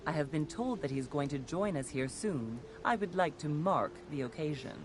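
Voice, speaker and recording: An actress voicing a refined aristocratic woman in a video game, reading her own letter slowly and formally. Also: deep voice, lively intonation. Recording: game audio under music.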